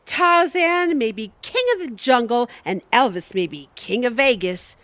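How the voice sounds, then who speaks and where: A woman's voice: on the high side, animated, a little slow, a bit bright, no room sound. A woman playing a gushing, tipsy friend in a comedic audio drama, with a theatrical, effusive delivery.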